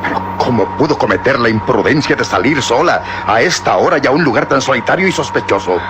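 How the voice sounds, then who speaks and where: An actor playing a skeptical police inspector in a radio drama, questioning and doubtful. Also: male voice, medium pitch, animated, quick pace, lightly acted. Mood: probing. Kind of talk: 1960s radio drama acting. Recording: noisy old radio drama recording.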